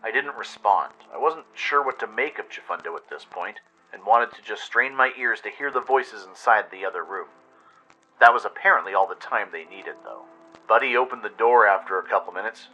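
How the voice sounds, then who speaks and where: A man's voice: lowish voice, expressive, normal speed, pushed and bright, muffled recording. A man reading a dramatic scripted adventure story as narrator. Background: music.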